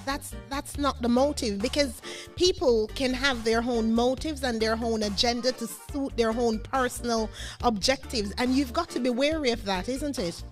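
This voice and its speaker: Emphatic woman with a higher-pitched voice, music behind. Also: moderate pace. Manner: emphatic, repeats phrases for emphasis. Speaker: radio host. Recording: background music under the talk.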